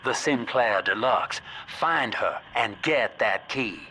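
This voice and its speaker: Game role: wily middle-aged hotel man, animated, swinging intonation, folksy patter, slight background noise. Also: medium pitch. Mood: urgent. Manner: folksy, chummy, fast-talking schemer. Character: shrewd, folksy male hotelier guiding the player. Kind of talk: video game character acting.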